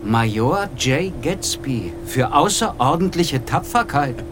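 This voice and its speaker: A hesitant, lively-pitched sceptical listener. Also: male voice, slow pace, background noise. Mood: puzzled. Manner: hesitant, questioning, short replies. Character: hesitant, polite, quietly sceptical male listener. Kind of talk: radio drama dialogue. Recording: studio radio play with music underneath.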